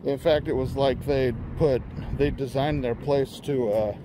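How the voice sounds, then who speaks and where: A man's voice: mid-range voice, fairly even intonation, unhurried. A man vlogging casually as he walks around outside, musing aloud with lots of fillers, recorded on a handheld phone. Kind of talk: casual walking vlog narration. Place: outdoors in a parking lot by a closed restaurant. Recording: outdoor traffic noise.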